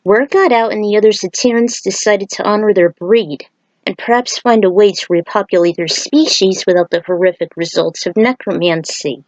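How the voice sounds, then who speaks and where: A woman's voice: medium pitch, moderate pace, no echo, rough recording. A woman reading a story aloud as narrator, occasionally stumbling over a word. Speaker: fan fiction reader.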